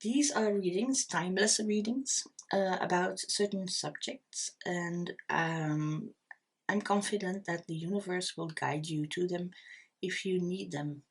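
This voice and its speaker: A middle-aged woman with a Dutch accent, giving an unscripted tarot reading, talking slowly and hesitantly with many fillers and restarts. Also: on the low side, husky. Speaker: tarot reader.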